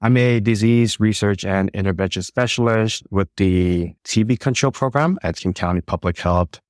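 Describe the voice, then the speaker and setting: A man's voice: low voice, no room sound, clean studio sound. A man from the Marshall Islands introducing himself as an interview guest working in public health.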